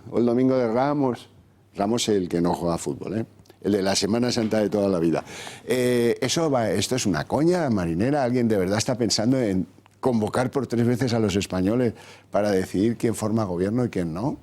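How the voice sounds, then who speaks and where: A man's voice: medium pitch, a little mellow. A man hosting a televised political talk show, introducing guests and posing ironic, rhetorical questions. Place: TV studio.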